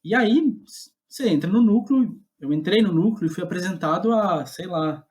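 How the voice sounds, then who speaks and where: A man's voice: high-pitched, moderate pace, a little mellow. Casual Brazilian man recounting his path. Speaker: guest playwright. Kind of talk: casual conversational interview, recounting own career.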